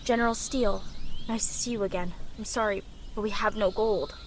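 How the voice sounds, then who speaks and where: A man voicing a meek, nervous villager in a dark story, timid and placating. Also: high-pitched, fairly even intonation, a little fast, noisy background, pitched above the performer's own voice. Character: meek, nervous villager facing an armed bully. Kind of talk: dramatic storytelling character voice.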